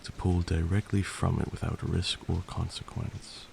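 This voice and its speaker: A man playing a patient, stern vampire lord who teaches magic in a measured, formal ASMR roleplay. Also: sits low, level tone, gravelly, background noise. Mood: solemn, instructive.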